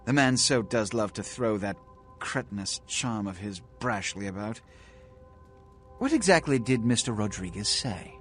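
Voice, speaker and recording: A man's voice: fairly low pitch, animated, unhurried. An actor playing a haughty male vampire prince in a video game, with a pompous, condescending, elaborately worded delivery. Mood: disdainful. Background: music.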